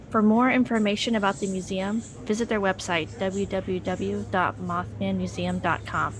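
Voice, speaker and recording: A woman giving scripted narration for an audio documentary in an even, measured reading voice. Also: medium pitch.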